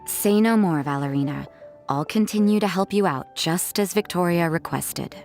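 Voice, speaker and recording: A woman voicing an aloof, jaded young church sister in a game, with a cool, deadpan, detached delivery. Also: lowish voice, normal speed, faint music behind, natural voice. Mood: flat, resigned. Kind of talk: English game dub voice acting.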